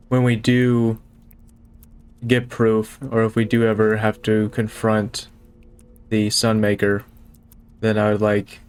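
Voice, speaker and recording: A man's voice: fairly low pitch, smooth. A man playing a determined spacer in a tabletop game, talking in a plain, matter-of-fact way. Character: determined male spacer named Atlas seeking allies.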